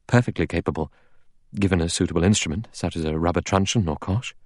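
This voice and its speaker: A man's voice: low voice, fairly quick, dark tone, no room sound, studio quality, close to an everyday voice. A man voicing a self-important male doctor in an audiobook, with an assured, formal, professional manner.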